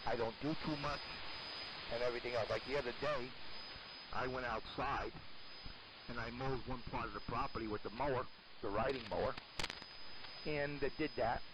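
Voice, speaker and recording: A man's voice: mid-range voice, steady tone, moderate pace, pauses often. An older man telling stories casually over an amateur AM radio transmission. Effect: AM shortwave radio transmission. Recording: hissy, noisy AM radio reception. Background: radio hiss.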